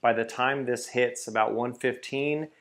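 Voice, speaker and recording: A man in his thirties hosting a home grilling vlog, upbeat and hyped, who breaks into loud whoops. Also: medium pitch, steady tone, measured pace. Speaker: grilling channel host. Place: home kitchen and backyard.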